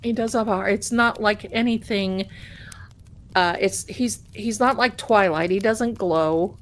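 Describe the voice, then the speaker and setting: A middle-aged woman casually chatting with her live-stream viewers as a tarot reader, rambling warmly and calling them her darlings. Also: mid-range voice, unhurried.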